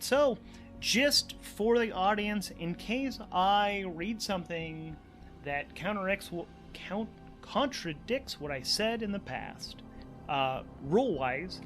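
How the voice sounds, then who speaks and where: A man's voice: a little high, fairly bright tone. A man narrating and commenting as host and player of a solo tabletop role-playing game, conversational and enthusiastic.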